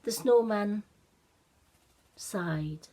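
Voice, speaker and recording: A woman narrates a children's story at a very unhurried pace. Her delivery is that of an expressive storyteller, with a medium-pitched voice.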